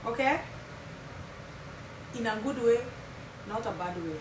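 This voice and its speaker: A woman's voice: on the high side, lively intonation, takes it slowly. A woman in her thirties from Kenya with a Kenyan accent, whose first language is Kimeru, telling her story to viewers in a chatty vlog and dropping Swahili phrases. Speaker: vlogger. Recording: echoey room from far off, loud background hum.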